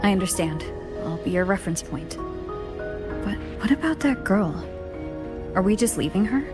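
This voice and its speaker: A woman playing an earnest, steady young female adventurer in a serious, understated way, in scripted video game dialogue. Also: medium pitch, moderate pace, close to an everyday voice. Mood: resolute. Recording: studio voice over game music.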